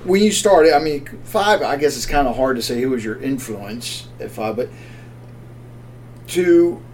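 A man's voice: medium pitch, expressive, measured pace, a little mellow, slight background noise. A man hosting a casual interview, enthusiastic, often laughing and affirming his guest. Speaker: podcast host.

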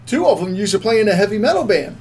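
A man's voice: sits high, very animated, fast, darkish tone. A man with a Baltimore accent hosting a podcast in casual, joking, self-deprecating chat. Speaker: podcast host.

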